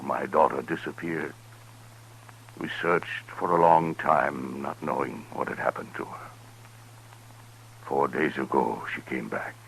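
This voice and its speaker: Very deep, slow, solemn old-radio chief. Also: male voice, gravelly, fairly bright tone, heavily acted, rough recording. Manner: solemn, formal, deliberate phrasing. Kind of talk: 1950s radio western acting. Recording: 1950s radio recording with faint noise.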